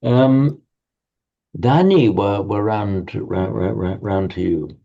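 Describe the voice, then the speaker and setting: Gravelly-voiced man teaching, halting speech. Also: lowish voice, lo-fi sound. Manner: halting, stammering repeats, patient. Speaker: Sanskrit teacher. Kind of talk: online class, correcting pronunciation.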